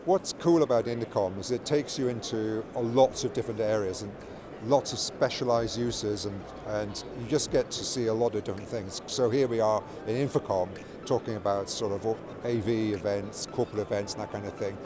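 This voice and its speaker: A man's voice: fairly low pitch, a little fast, a crowd in the background. A middle-aged or older man giving an informal interview as a company product executive, explaining things with repeated words and restarted phrases. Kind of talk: informal trade show interview. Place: busy trade show exhibition hall. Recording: loud trade show floor noise. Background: clear ambient show floor noise.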